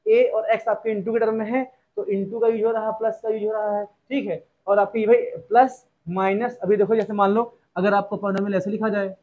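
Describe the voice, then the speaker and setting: Young male maths teacher, high voice, keeps asking and answering his own questions. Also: level tone, brisk, few pauses, narrow, tinny audio. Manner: repetitive rhetorical questions, addresses students as "beta". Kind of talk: classroom lesson explanation.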